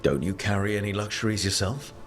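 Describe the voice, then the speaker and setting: An actor playing an easygoing young adventurer in a video game, speaking casually with affectionate, playful teasing. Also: male voice, fairly low pitch, fairly quick, soft background music, natural voice. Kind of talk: video game cutscene acting.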